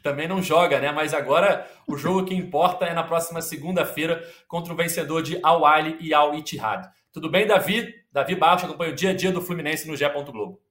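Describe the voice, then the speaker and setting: Male podcast host, slightly high, casual and rambling, echoey room. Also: quick pace, hardly any pauses. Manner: lively, many fillers and restarts. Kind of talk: sports podcast chat.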